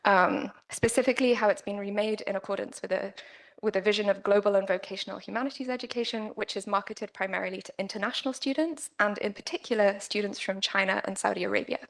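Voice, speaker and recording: A woman presenting an academic paper at a conference, with a quiet voice and frequent "um"s. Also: medium pitch, fast, gravelly. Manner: quiet-voiced, careful with many fillers. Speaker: conference speaker. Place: conference room.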